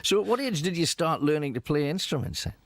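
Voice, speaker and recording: A man's voice: fairly high pitch, very animated, quick pace, dry recording. A man interviewing a musician on radio, in a jovial and teasing way.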